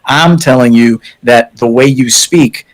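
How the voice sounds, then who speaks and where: A man's voice: medium pitch, measured pace, rough recording. Blunt, lecturing male co-host. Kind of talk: call-in advice show.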